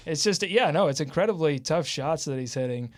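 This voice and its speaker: A man with a fairly high voice hosts a sports show. His talk is lively and informal, with a jokey streak. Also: brisk. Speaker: podcast host.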